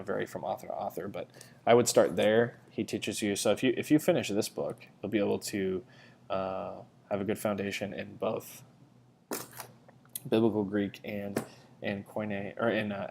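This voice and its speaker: A man teaching ancient Greek, talking casually and recommending books, with a relaxed, rambling delivery full of fillers and restarts. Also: lowish voice, gravelly, slight background noise. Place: church community setting.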